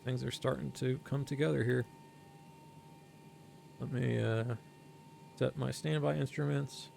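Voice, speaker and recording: Man with a heavily gravelly voice. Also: mid-range voice, fairly even intonation, a little mellow, a little hiss or hum. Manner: explains steps while thinking aloud; reads out numbers slowly and carefully. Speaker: flight sim streamer. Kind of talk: casual livestream commentary.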